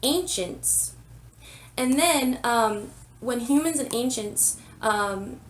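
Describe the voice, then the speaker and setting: A woman's voice: on the high side, expressive, slow, slight background noise. A woman host with a stuffy head cold, chatting casually through a comic review, full of ums and apologetic asides. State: congested from a cold, sniffling. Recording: roomy, slightly distant sound.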